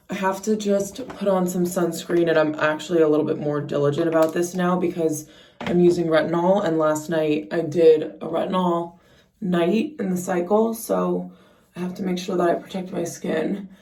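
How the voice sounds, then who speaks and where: A young woman with a slightly raspy voice chats casually and informally to camera as a vlogger, peppering her talk with "like". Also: fairly low pitch, mellow, recorded from a distance. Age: young adult. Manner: chatty, informal, frequent "like". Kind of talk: casual chat to camera while getting ready. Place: indoors at home.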